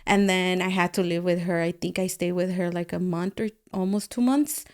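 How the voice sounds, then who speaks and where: Clear-voiced woman chatting informally, native Spanish speaker. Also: fairly low pitch, fairly even intonation, normal speed, darkish tone. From Guatemala. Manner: informal, slangy, peppers talk with "like" and quoted dialogue. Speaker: podcast guest, painter. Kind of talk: casual personal storytelling in a podcast conversation.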